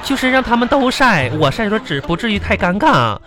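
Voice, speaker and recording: A woman's voice: mid-range voice, sing-song, quick pace, lightly acted. A woman playing a vain, brash, self-deluded young single woman in a radio comedy, with a Northeastern Chinese accent and melodramatic, blunt banter. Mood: conspiratorial, smug. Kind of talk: comedy radio drama dialogue. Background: music.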